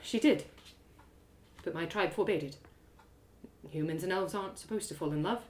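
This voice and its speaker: A woman voicing a solemn, gentle elf mentor in a one-woman audio drama, speaking in a measured, earnest, instructive way. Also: on the low side, natural voice. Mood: sad.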